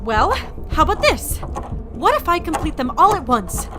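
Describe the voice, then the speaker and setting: An actress playing a panicked, stubborn, lonely young agent in an audio drama, stammering and swearing. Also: female voice, sits high, very animated, moderate pace, music in the background. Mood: scheming.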